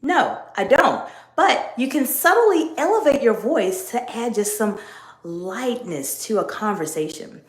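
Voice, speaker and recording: A middle-aged woman coaching viewers on leadership in a live stream, speaking in a measured, emphatic teaching tone. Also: on the high side, very animated. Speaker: executive coach. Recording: echoey room.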